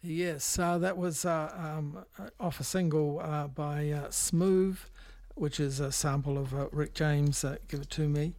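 A man hosting a music show on radio, talking casually and off the cuff in a halting, rambling, hesitant way. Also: on the high side, fairly even intonation, darkish tone. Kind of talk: casual, unscripted back-announcing of records.

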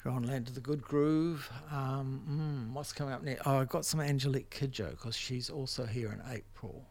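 An older man with a New Zealand accent, casually hosting a music show in a halting, rambling, unscripted way. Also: mid-range voice, darkish tone, dry recording. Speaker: radio music show host. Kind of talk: casual unscripted radio back-announcing. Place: dry radio studio.

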